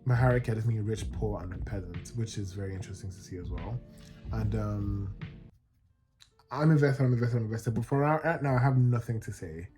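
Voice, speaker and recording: Excitable man gushing about books. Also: fairly low pitch, brisk, music in the background. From Nigeria. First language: Yoruba. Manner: excitable, gushing, rambling with restarts. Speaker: book vlogger. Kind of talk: casual reading vlog chat.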